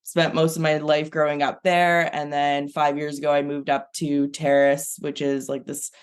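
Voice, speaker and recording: A woman's voice: deep voice, little pitch movement, moderate pace, clear, resonant tone. A woman in her thirties, born in Iran, chatting casually as a podcast guest, talking over a remote call and leaning on lots of "like" and "kind of" fillers. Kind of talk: casual conversation.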